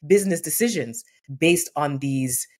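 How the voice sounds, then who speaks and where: A woman's voice: deep voice, a little slow. A woman in her forties, a workplace consultant speaking as a guest in a video-call interview, telling stories earnestly and acting out the quotes. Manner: earnest, animated storytelling with acted-out quotes. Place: home room with painting behind.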